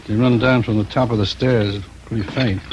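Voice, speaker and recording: A man portrays a calm crime-lab technician in a 1950s radio police drama. He is terse and clinical, with a fairly low voice. The old recording carries faint noise. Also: normal speed, dull audio, close to an everyday voice.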